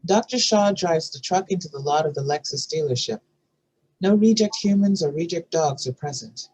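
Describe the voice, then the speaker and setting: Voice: medium pitch, lively intonation, normal speed, a little mellow, slightly rough, poor audio. A narrator reading scene headings and action lines from a screenplay at a table read. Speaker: narrator reading stage directions.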